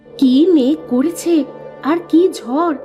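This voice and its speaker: Woman as a very high-pitched anxious village wife. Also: slow, dark tone, soft background music. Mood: worried. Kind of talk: cartoon dialogue acting.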